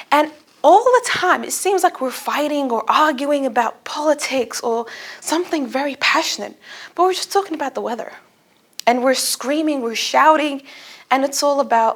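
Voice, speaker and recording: High-voiced young woman giving a humorous speech. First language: Arabic. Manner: expressive, animated storytelling with acted-out asides. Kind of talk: humorous personal speech to an audience.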